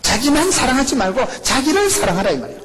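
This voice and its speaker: A middle-aged male pastor with a Gyeongsang accent, preaching a lively, joking sermon full of rhetorical questions. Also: very high voice, fast talker, background noise. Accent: Gyeongsang Korean. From rural South Korea. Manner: animated, jokey preaching with rhetorical questions and laughs.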